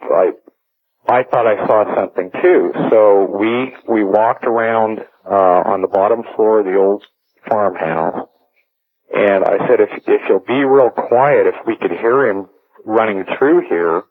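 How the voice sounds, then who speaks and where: A middle-aged man with a fairly low voice tells a personal story as a radio caller. He goes deliberately, stopping often to hesitate and gather words. His voice comes through a narrow, phone-like connection. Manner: halting, deliberate, many long hesitations.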